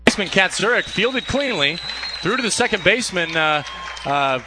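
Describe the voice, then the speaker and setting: A man doing radio play-by-play for a baseball game, calling pitches in a steady flow that lifts when a play unfolds. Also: moderate pace, background noise. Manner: steady calls, rising excitement on plays. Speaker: play-by-play announcer.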